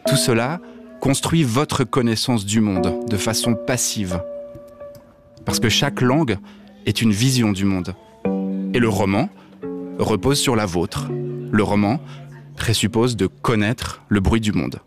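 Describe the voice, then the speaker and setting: A man voicing a blunt deaf man, low voice. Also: unhurried, pauses often, natural voice. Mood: earnest. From Switzerland. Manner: blunt, mildly provocative, direct address. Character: deaf man who dislikes reading novels, blunt. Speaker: radio columnist. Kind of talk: scripted first-person monologue over music. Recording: music playing underneath.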